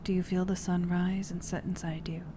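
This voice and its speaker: A woman streamer speaking softly in a playful ASMR livestream style, often dropping into a whisper. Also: lowish voice, flat delivery, moderate pace, background noise. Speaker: streamer reading tarot.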